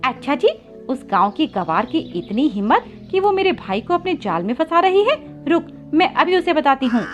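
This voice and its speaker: Woman putting on a high, haughty bully pigeon. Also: very animated, normal speed, dull audio, faint music behind. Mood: angry, scornful. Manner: bossy, taunting, contemptuous. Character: haughty, bullying rich young female pigeon, sneering city girl. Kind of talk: Hindi children's cartoon story acting.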